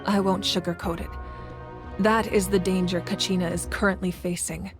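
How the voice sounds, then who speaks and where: A woman voicing a composed, commanding female ruler in a game, speaking in a measured, grave, deliberate way. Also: on the low side, little pitch movement, close to an everyday voice. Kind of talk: game voice acting. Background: game music.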